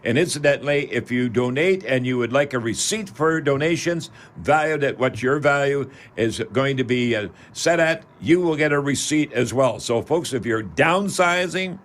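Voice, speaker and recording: A Canadian man hosting a call-in radio show, chatting casually in a folksy, rambling, halting way. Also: medium pitch, lively intonation, a bit bright. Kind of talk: casual live radio chat.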